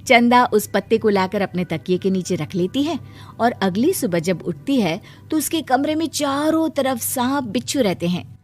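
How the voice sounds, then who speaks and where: A woman narrating a children's cartoon moral story in a steady, scripted way. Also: a little high, moderate pace, faint music behind.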